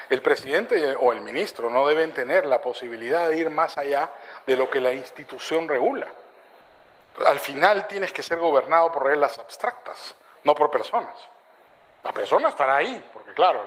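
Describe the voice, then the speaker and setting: Animated older male law lecturer. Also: on the high side, poor audio. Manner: animated storyteller, rhetorical asides. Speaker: law professor. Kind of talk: university lecture with anecdotes.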